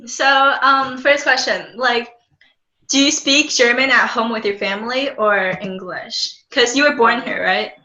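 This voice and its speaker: Teen girl co-host, bright, high voice, chatty with filler words, echoey room. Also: measured pace. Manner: chatty, lots of "like" and "um". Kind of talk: casual interview questions.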